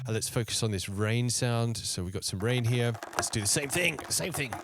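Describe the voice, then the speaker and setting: A man giving a casual software tutorial on music production, thinking aloud in short remarks as he works. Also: mid-range voice, lively intonation, normal speed. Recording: electronic music playing along with the voice. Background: electronic music, drums and synth tones.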